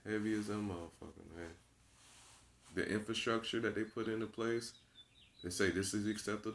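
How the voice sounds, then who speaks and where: A young man in his twenties with a low voice delivers an unscripted monologue to his viewers. He is blunt and profane, preaching his point and circling back on the same phrases for emphasis. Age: 20s. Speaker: vlogger.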